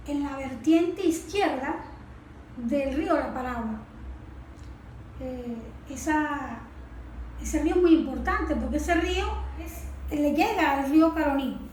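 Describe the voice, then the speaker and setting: A woman with a Venezuelan accent giving a didactic technical explanation as a specialist, often posing rhetorical questions. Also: high-pitched. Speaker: watershed management specialist, guest analyst. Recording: echoey room, background noise.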